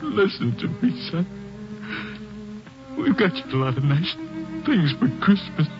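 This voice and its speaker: A distraught father speaks slowly and haltingly in a fairly high male voice full of anguish and disbelief. It is emotional vintage radio drama on a dull recording. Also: big pitch swings, over music. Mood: broken, grieving denial.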